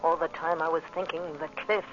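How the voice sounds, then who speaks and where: A woman's voice: deep voice, little pitch movement, brisk, lightly acted. An actress playing an intense, guarded young woman, hesitant and brooding, in a 1940s radio drama. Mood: brooding, haunted. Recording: muffled old radio recording, faint noise.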